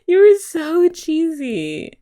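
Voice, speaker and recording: A young woman in an ASMR girlfriend roleplay, talking softly and teasingly to the listener. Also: extremely high pitch. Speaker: ASMR creator.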